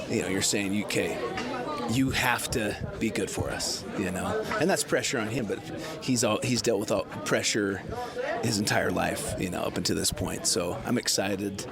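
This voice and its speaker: A man's voice: on the low side, creaky. A man, a football coach, answering reporters informally at an outdoor media scrum. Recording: outdoors with busy crowd behind.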